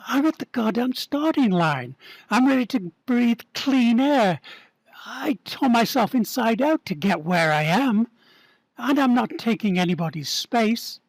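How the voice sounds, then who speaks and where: Husky man playing an earnest, pleading man. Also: very high-pitched, lively intonation, unhurried, no room sound, full character voice. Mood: pleading, indignant. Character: Tandy, earnest desperate man pleading for his life.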